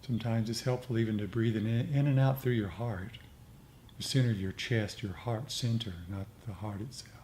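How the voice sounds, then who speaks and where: A man gently instructing a meditation group in an informal, conversational way. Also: fairly low pitch, steady tone, normal speed. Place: indoor meditation group meeting. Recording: clearly noisy room recording.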